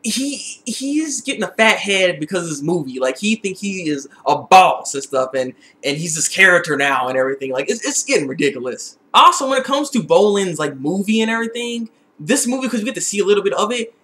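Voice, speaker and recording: A young man giving a casual, excitable episode recap, repeating phrases for emphasis. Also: sits high, a little fast. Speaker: TV episode reviewer. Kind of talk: casual video recap and review.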